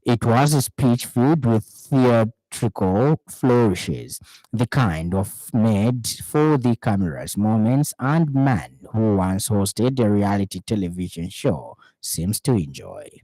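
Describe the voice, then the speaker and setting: A man with a Zambian accent reads the evening radio news in a steady, formal cadence. His voice sits a little low and sounds dark and mellow. The studio sound is close and dry, with no room around it. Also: no room sound, low-quality recording. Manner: measured, formal newsreader delivery. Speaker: news anchor. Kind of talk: radio news bulletin reading. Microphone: studio microphone.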